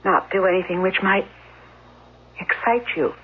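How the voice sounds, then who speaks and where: An actress playing a middle-aged mother in a radio drama, hesitant and placating, straining to appear calm. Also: mid-range voice, measured pace, husky. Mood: guarded, fearful. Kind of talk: 1970s radio drama acting. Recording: muffled, noisy old radio recording.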